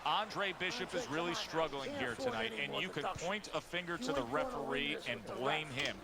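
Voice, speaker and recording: A man playing an excitable TV boxing play-by-play announcer in a video game, making punchy, emphatic calls. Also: fairly high pitch, normal speed, gravelly, over a noisy crowd. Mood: excited, emphatic. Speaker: sports commentator. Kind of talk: live boxing commentary.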